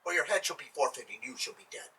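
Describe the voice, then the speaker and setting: A man's voice: high-pitched, big pitch swings, a little slow, breathy edge, distant mic, full character voice. A man doing a raging, imperious sultan. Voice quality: shouting, imperious. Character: furious, pompous male sultan, a ruler.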